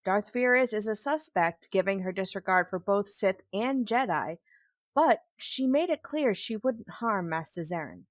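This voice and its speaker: An actress playing a fair-minded, firm Jedi in an audio drama, speaking in a measured way. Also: female voice, mid-range voice, expressive, dry recording, clean studio sound, close to an everyday voice.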